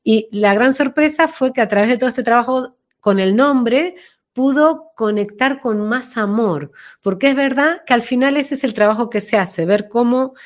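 High-voiced woman explaining reflectively. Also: moderate pace. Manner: reflective, demonstrative, repeating phrases for emphasis. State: recovering from flu. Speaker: interview guest, voice work coach. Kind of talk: explanatory interview talk.

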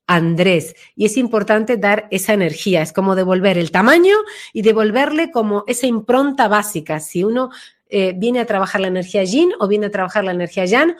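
A woman explaining her own method as a voice practitioner in an expressive, conversational way, illustrating her points as she goes. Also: medium pitch. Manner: expressive, acts out names and quotes to illustrate points. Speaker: voice and name-energy practitioner being interviewed.

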